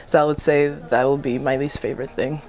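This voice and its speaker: Deep-voiced young woman from Rwanda. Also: steady tone, a little fast, darkish tone. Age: young adult. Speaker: university student. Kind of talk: casual interview answer.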